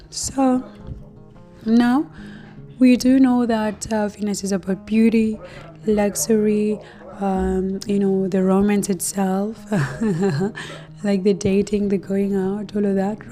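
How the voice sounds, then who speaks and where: A woman hosting an astrology talk to her viewers, hoarse and losing her voice, speaking casually with many fillers and soft laughs. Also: mid-range voice. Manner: slow, relaxed, many fillers and light laughs. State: losing her voice, deeper than usual. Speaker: astrology channel host.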